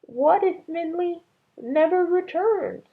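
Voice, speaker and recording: A woman's voice: extremely high pitch, animated, takes it slowly, vocal fry, narrow, tinny audio, voice pushed up, full character voice. A woman voicing a sorrowful storybook mother who misses her child, plaintive and anguished.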